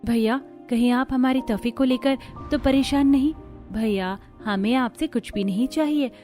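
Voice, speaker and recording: Woman playing a caring young sister, high voice. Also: normal speed, warm tone, soft background music, close to an everyday voice. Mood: concerned. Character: caring, perceptive young sister in a family cartoon.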